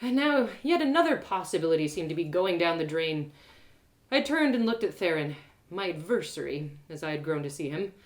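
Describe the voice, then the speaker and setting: Woman reading her own story out loud, super animated, swoopy voice. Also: lowish voice, fairly quick. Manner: expressive, animated narration. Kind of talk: one-woman audio drama reading.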